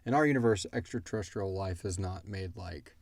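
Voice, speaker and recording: Low-voiced man, casual podcast host. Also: a little fast, vocal fry, a little mellow, no echo. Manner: informal, thinking aloud with tangents. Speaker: podcast host and audio drama creator. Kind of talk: casual question-and-answer chat.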